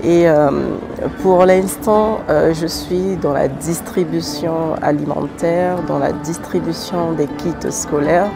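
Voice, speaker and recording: A woman's voice: sits low, fairly even intonation, slow, gravelly. Hesitant woman with music underneath. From Congo-Brazzaville. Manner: hesitant, many "euh" fillers. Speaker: president of a nonprofit association. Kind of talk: interview answers.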